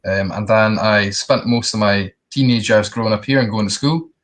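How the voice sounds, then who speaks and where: A young man, telling his personal story casually in a halting, reflective way. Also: lowish voice. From Scotland and the Netherlands. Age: young adult. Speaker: interview guest.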